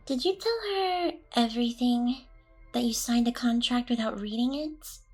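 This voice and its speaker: A woman's voice: fairly high pitch, darkish tone, rough recording. A woman playing an ancient, smug, seductive demon boss in an ASMR roleplay, with an intimate, teasing delivery.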